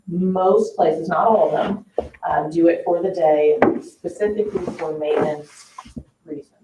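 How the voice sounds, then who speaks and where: A woman's voice: on the low side, darkish tone, dull audio. A woman talks informally at a board meeting, explaining things with practical examples. She is picked up from a distance in an echoey room, with faint noise behind her. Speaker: parks staff member at a board meeting. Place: indoor public meeting room.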